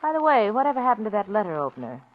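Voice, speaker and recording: Woman acting cool calm wife, quietly menacing, old 40s radio drama, dull n a bit noisy. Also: medium pitch, very fast, lightly acted. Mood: sweetly probing.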